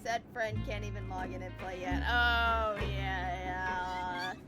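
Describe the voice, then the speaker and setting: A woman's voice: medium pitch, fairly even intonation, slow. A woman live-streaming a game, chatting casually in a rambling, conversational way. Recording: loud game music behind. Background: video game music.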